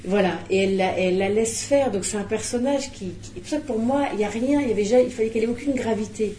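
Mellow-voiced woman director, roomy sound. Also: on the high side, quick pace, slight background noise. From Belgium. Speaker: film director. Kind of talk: press conference interview.

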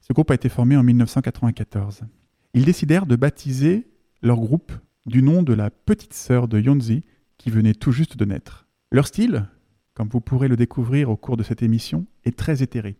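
Man presenting a radio music show, mellow warm tone, mid-range pitch, measured scripted delivery that slows on key words, studio mic, faint music underneath. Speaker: radio host.

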